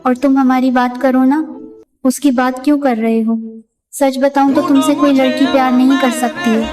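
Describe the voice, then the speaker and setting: A woman acting as a high-voiced, defensive young girlfriend. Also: steady tone, moderate pace, natural voice. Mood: irritated, cutting. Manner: defensive, exasperated. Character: defensive, irritated young girlfriend insisting on her love. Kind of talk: dramatized breakup phone conversation in Hindi. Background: background music.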